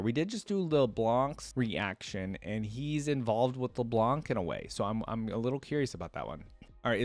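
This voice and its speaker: A man's voice: medium pitch, no echo. A man hosting a reaction video, casually reading lore aloud and dropping in his own comments, recorded close to the microphone. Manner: casual, interjects reactions between read passages.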